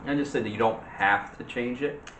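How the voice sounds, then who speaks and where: A man co-hosting a casual movie and TV debate, disagreeing in halting, hesitant phrases. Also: medium pitch. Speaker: co-host of a movie discussion show. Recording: echoey room with faint background noise.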